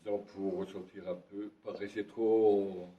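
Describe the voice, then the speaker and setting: Brief: man, lowish voice, wry and modest, heard distant in a reverberant room. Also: flat delivery, normal speed, muffled recording, a little hiss or hum. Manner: modest, wry, short replies. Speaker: local association volunteer. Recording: echoey room, distant from mic.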